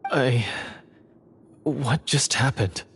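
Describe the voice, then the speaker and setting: An actor playing a troubled, self-doubting young man from a line of monster hunters in a video game, speaking in a halting, confessional, dramatic way. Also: mid-range voice, a little hiss or hum. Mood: dazed, startled. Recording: music under the voice.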